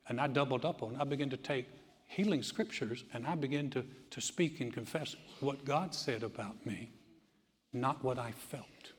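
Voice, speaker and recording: A man preaching a sermon as pastor, moving from easy conversational teaching into loud, emphatic delivery. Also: mid-range voice, in a large hall.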